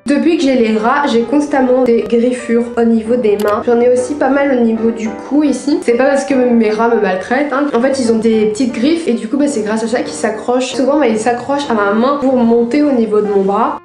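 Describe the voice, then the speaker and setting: A young woman with a French accent from France chats to her viewers in a fairly high, gentle, dark-edged voice. She tells personal anecdotes informally, with slang and a confiding manner. Music plays underneath her. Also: fairly quick, few pauses. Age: young adult. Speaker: video creator. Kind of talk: casual personal anecdotes to camera. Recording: roomy echo, music underneath.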